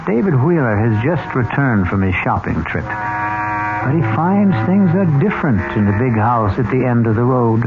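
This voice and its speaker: A man's voice: medium pitch, sing-song, normal speed, heavy background noise. A man hosting a 1970s radio mystery, narrating in a theatrical, suspenseful scripted style. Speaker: radio drama host. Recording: tinny old radio broadcast. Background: loud music.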